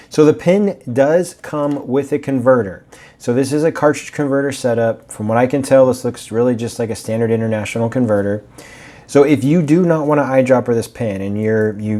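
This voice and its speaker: A man giving a casual, rambling review of a fountain pen, his voice a bit raspy from allergies. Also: medium pitch, dark tone. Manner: chatty, rambling, with fillers and restarts.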